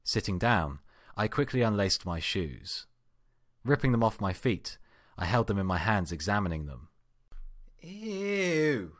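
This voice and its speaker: A man with a deep voice reads a first-person tale as an amateur narrator. His delivery is expressive and theatrical, acting out the exasperation in the text. The sound is dry, with very little room in it. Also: unhurried, no room sound. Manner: expressive, acts out exasperated outbursts. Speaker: amateur fan fiction reader. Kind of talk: dramatic first-person story reading.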